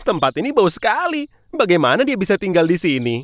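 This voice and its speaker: A woman's voice: mid-range voice, very animated, fairly quick, dry recording, soft background music, natural voice. A woman voicing a snobbish city mouse with a disdainful, boastful manner in a children's cartoon. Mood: disgusted. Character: snobbish, haughty city mouse fond of luxury.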